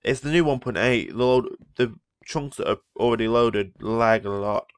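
A man who is feeling ill gives rambling, easily impressed commentary as he plays. His voice sits at a middling pitch, recorded dry and close on a desktop mic. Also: moderate pace, dry recording. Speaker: Minecraft let's player. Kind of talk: casual gameplay commentary.

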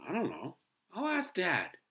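Storybook schoolboy acted by an adult reader: eager, high-pitched, very lively swings. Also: unhurried. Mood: worried. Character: excitable young schoolboy, eager, earnest, easily delighted.

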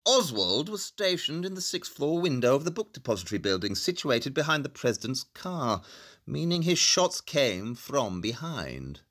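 Casting: haughty analytical detective for audio play; imperious, crisp-minded, very animated intonation. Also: male voice, medium pitch, normal speed. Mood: intense, deducing. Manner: precise, imperious, sardonic.

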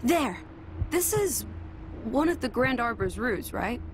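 Gentle high-voiced young woman. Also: sing-song, slow, a little hiss or hum. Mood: thoughtful. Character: gentle, earnest, caring young woman companion. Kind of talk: video game cutscene acting. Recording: music behind the voice.

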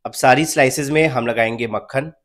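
A young man narrates a cooking video in a calm, even, instructional manner. His voice sits at an ordinary middle pitch, and he explains each step plainly for viewers following along at home. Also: a little fast. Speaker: cooking channel host. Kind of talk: recipe voice-over narration.

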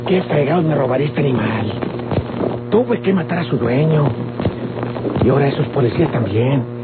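An actor playing a rough, rattled fugitive horse thief in a Mexican radio drama, muttering in a rustic, colloquial Mexican accent. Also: male voice, mid-range voice, expressive, normal speed, close to an everyday voice. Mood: rueful, uneasy. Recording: tinny old radio recording, loud music.

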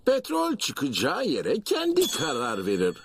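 A man voicing a smug, pompous, greedy oil tycoon in an animated cartoon dub. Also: on the high side, measured pace, vocal fry, exaggerated voice.

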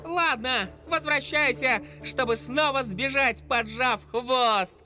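A woman's voice: sits high, slow pace, pushed and bright, soft background music, exaggerated voice. A woman voicing a plucky, cheeky little male animal sidekick in a dubbed cartoon, feisty and boastful.

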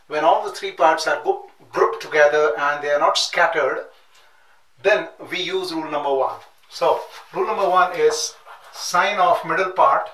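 A middle-aged man teaching at a chalkboard, working through calculations step by step and repeating numbers slowly as he writes. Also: a little high, far from the mic. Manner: methodical, repeats numbers slowly while writing. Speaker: nautical science instructor. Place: classroom at a chalkboard. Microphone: room mic at a distance, back often turned. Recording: echoey room, off-mic, clear noise.

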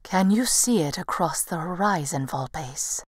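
Woman acting serene dawn goddess, storybook, gentle, solemn, lowered pitch, slow. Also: expressive, dry recording, studio quality.

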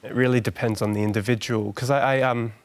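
A man in his thirties with a soft voice, speaking gently and thoughtfully as a guest on a studio panel. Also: mid-range voice, steady tone, fast. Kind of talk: studio discussion. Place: large television studio.

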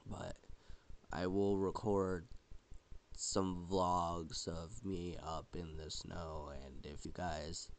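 A young man hosting a casual holiday vlog while sick with a cold, coughing now and then and rambling with halting restarts. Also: low voice, level tone, creaky, slight background noise. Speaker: channel host.

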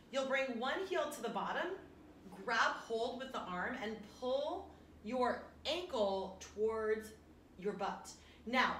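A woman's voice: fairly high pitch, fairly bright tone. A chatty, encouraging woman in her thirties leading a casual stretching workout as a fitness instructor, recorded at home in a living room. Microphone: camera a few meters away. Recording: roomy, distant mic, faint room noise.

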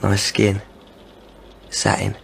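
An actor playing a pushy, menacing young army deserter in a radio drama, needling and wheedling in a creaky voice. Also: male voice, fairly low pitch, completely flat delivery, extremely slow, full character voice. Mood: possessive, menacing. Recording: clear background noise and ambience.

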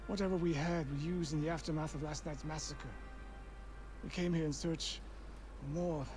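An actress playing a solemn older woman elder who speaks in a formal, measured way. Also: low-pitched, fairly even intonation, over music, natural voice. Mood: grave.

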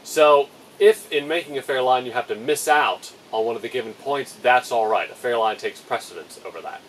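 A young man talks through a woodworking build in a clear, methodical way with a dry sense of humour. He sits a little back from the camera mic, and a faint hum of air conditioning runs underneath. His voice is of average pitch for a man. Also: normal speed, a bit bright, recorded from a distance, low-quality recording. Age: young adult. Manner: clear, methodical, dryly humorous. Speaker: boatbuilding vlogger. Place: plastic-sheeted polytunnel workshop, indoors.

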